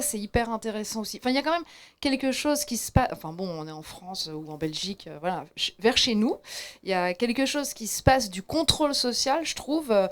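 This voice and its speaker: A woman in the audience adding a quick, hedging comment, thinking aloud as she goes. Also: fairly high pitch. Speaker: audience member.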